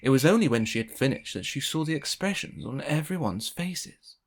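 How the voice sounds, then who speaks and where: Animated man reading a children's story. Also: mid-range voice, fairly quick. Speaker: storybook reader. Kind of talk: expressive children's read-aloud narration.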